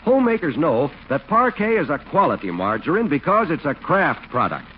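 A man's voice: fairly high pitch, big pitch swings, fairly quick. A man announcing and narrating from a script in polished, emphatic 1940s radio style. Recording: muffled 1940s radio recording with hiss.

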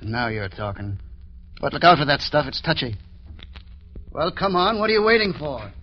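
A man's voice: fairly high pitch, sing-song, moderate pace, faint music behind. A man acting a tough, menacing gangster henchman in an old radio drama, sneering and impatient. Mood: bullying, impatient. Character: hard, menacing gangster henchman bullying an underling. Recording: dull, noisy old radio recording.

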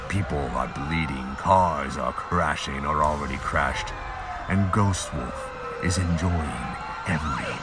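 A man's voice: rumbling low, slow pace, loud music behind the voice. A man giving scripted audiobook-style narration of a fantasy audio drama. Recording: noisy amateur home recording, clicks.

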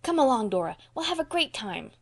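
A woman's voice: high voice, very animated, a little fast, slightly rough, voice pushed up, heavily acted. A woman voicing a reckless, defiant young country boy in a storybook, with indignant blustering and folksy childish grammar.

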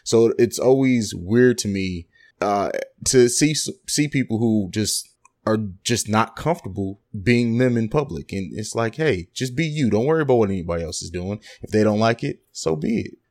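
A man's voice: on the low side, expressive, moderate pace, darkish tone. A man hosting a podcast interview, casual and affirming, who often repeats "absolutely" and rambles through his thoughts. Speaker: podcast host.

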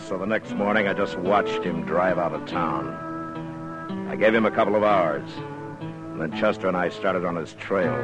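An American actor playing a steady, determined Old West lawman in a 1950s radio western, terse and plainspoken. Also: on the low side. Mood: grim, resolved. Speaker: narrator and lead actor. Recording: noisy vintage radio recording.

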